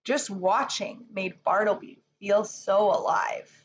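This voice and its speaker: A woman reading a rhyming children's picture book aloud in an expressive storytelling manner. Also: medium pitch, slow pace, dull audio. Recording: faint background noise.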